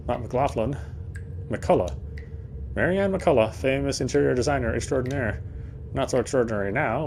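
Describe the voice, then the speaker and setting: A man's voice: medium pitch, a little mellow, a little hiss or hum. A man reading first-person game narration aloud in a dramatic storytelling style, now and then stumbling over words.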